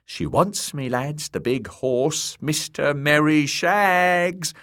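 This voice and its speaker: An actor playing a cruel, crude male jester who brays and mocks his victims in a high, shrieking voice. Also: takes it slowly, a bit bright. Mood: leering. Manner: mocking, leering. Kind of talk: audiobook character voice.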